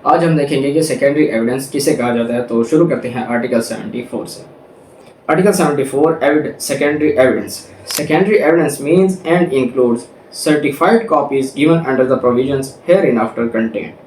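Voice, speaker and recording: A man's voice: medium pitch, takes it slowly, darkish tone, slight background noise. A man with a Pakistani accent giving an explanatory lecture, reading out formal text and restating it step by step with examples. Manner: didactic, repeats and rephrases points with examples. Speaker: law lecturer. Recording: echoey room, distant microphone.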